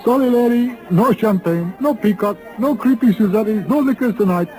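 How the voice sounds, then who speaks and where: An actor playing a bossy, excitable hotel errand boy in clipped broken English, in a 1950s radio western. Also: sits high, moderate pace, close to an everyday voice. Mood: excited, insistent. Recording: old radio recording with music underneath.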